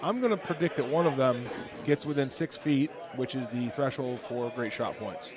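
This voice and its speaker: A man in an announcer's booth calls arcade golf shots in a relaxed, joking way. His voice sits at an ordinary middle pitch. A crowd chatters clearly behind him at the event. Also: moderate pace. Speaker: play-by-play commentator. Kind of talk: live esports commentary. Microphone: booth microphone. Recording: busy event with crowd noise.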